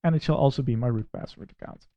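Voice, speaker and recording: A man's voice: mid-range voice, fast, vocal fry, no echo, dull audio. Dutch-accented man, methodical and critical. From Netherlands. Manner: critical, methodical, plainly spoken. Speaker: tech reviewer. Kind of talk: screen-recorded software walkthrough.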